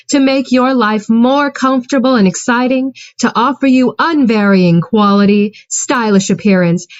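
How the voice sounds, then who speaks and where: A woman's voice: dry recording, muffled recording. Woman reading a mock commercial. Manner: smooth sales pitch delivery. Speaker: announcer.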